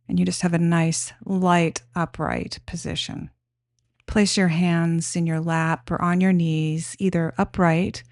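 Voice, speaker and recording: Woman doing guided meditation, slow n gentle, lowish voice, super dry close sound. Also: dry recording. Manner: gentle, unhurried, instructional.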